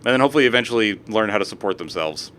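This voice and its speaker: A man's voice: lowish voice, lively intonation, rapid-fire, fairly bright tone, a little hiss or hum. A young man streaming live, reading posts aloud and ranting about them with plenty of swearing. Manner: profane, ranting, reads posts aloud with asides.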